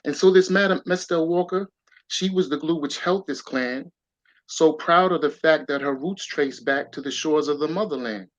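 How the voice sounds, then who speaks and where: A man's voice: fairly high pitch, normal speed, no room sound. Man reading rhyming verse aloud. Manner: rhythmic storyteller reading verse, lively emphasis. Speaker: guest author. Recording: dull lo-fi sound.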